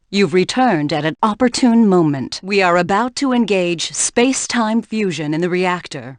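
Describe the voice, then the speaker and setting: A woman's voice: mid-range voice, dry recording, lightly acted. Gracious woman ruler for a game, actress: mid register, dignified, formal, close dry sound. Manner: formal, measured. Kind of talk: scripted game drama.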